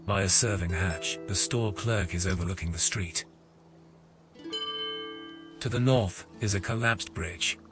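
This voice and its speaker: A man with a lowish voice narrates a fantasy adventure game. His delivery is scripted and measured, like storytelling, with music clearly underneath.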